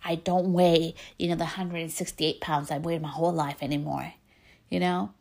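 A woman's voice: low voice, little pitch movement, moderate pace, vocal fry, darkish tone. A woman with a Spanish accent hosting a podcast, giving an earnest, emphatic motivational talk. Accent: Spanish-accented English. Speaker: podcast host. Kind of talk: motivational monologue.

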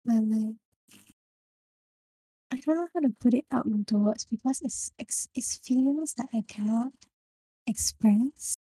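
A young woman with a Singaporean English accent, casually discussing a book with friends and often pausing to find her words. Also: on the high side, warm tone, no echo, low-quality recording. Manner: halting, searching for words. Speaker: book club member.

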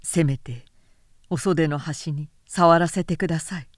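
A woman narrator voicing an ardent, courtly young man who pleads gently and formally in a literary audiobook. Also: sits low, measured pace, no echo, studio quality. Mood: earnest, pleading.

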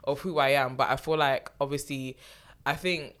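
A woman's voice: extremely low pitch, steady tone, moderate pace, a bit bright. A woman, a comedian, chatting casually on a podcast, full of "like" and "literally". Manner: chatty, many fillers like "like" and "literally". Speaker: comedian making online skits.

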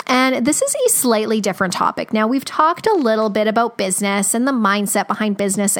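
A woman's voice: fairly high pitch, fairly bright tone. Upbeat woman with a scratchy voice. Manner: upbeat, chatty, lots of fillers. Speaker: podcast host. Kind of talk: casual podcast intro and interview.

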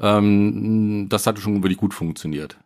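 A man's voice: lowish voice, measured pace, a little mellow. A man, a startup founder telling his story in a podcast interview, rambling with many fillers.

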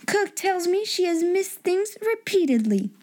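A woman's voice: extremely high pitch, big pitch swings, measured pace, a little mellow, pitched above the performer's own voice, heavily acted. A woman voicing a stern, angry headmistress who scolds in clipped tones. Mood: scolding.

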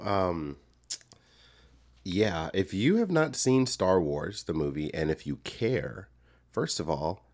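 Man, hoarse, deep, animated pitch, casual podcast chat, playful. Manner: playful, rambling, jokey. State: hoarse from illness. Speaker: podcast co-host.

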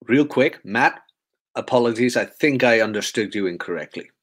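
A man's voice: mid-range voice, lively intonation, moderate pace, fairly bright tone. A man hosting a casual podcast chat, easygoing and reading out listener questions. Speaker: podcast host.

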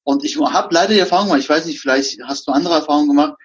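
A man from Berlin talks through his career in relaxed conversation, slipping into the voices of the people he quotes. His voice sits a bit high and has a husky edge. The recording sounds dull and lo-fi. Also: racing. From Berlin, Germany. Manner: anecdotal, acts out dialogue. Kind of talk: casual conversation.

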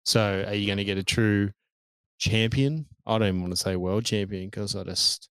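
A man's voice: lowish voice, fairly even intonation, normal speed, a bit bright, smooth, dry recording. A man, co-hosting a casual podcast chat, blunt and sweary. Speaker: podcast co-host.

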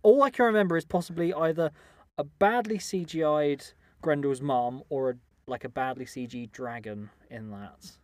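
A man's voice: fairly high pitch, normal speed, darkish tone, no room sound. A man co-hosting a podcast, eagerly chiming in on casual film talk and repeating his phrases. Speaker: podcast co-host.

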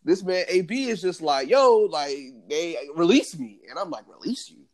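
Energetic male co-host, slightly raised pitch, fast slangy banter. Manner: excited, animated, lots of slang. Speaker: podcast co-host. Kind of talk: casual sports podcast chat.

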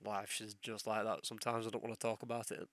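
A man's voice: lowish voice, flat delivery, quick pace, fairly bright tone, no echo. A young man hosting a solo podcast while ill, with a sore throat and sniffles, rambling and swearing as he loses his train of thought. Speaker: podcast host.

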